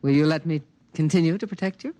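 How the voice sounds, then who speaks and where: A man's voice: on the high side, quick pace, dark tone, close to an everyday voice. A man playing an earnest, fussy alien guardian in a 1950s radio drama, speaking in precise, formal, solemn pronouncements. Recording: vintage radio recording, faint music.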